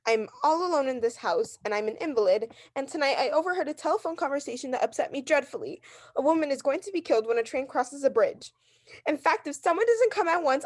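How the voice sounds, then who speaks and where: A woman acts out a high-pitched, neurotic invalid wife in an old-time radio thriller. She is frightened and demanding, pressing every point in an insistent, overwrought way. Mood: upset, insistent. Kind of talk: 1940s radio drama acting.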